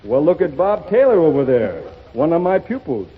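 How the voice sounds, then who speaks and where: An actor playing a stern, bossy gym instructor in a 1930s radio comedy sketch, brisk and scolding. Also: male voice, a little high, sing-song, husky. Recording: tinny reverberant old radio broadcast.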